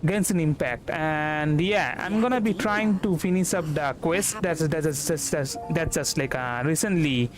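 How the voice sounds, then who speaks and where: Young male streamer, higher voice, rambling casual game commentary over clear background music. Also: moderate pace, few pauses. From India. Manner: rambling, repeats words. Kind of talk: casual gameplay livestream commentary.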